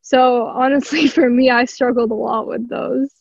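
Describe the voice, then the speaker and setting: A woman's voice: fairly high pitch, steady tone, normal speed, gravelly, dry recording, highs cut off. A young woman of Palestinian origin, a guest in a casual interview, speaking in an earnest, reflective way with frequent fillers. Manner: earnest, reflective, uses "honestly" and "like" often.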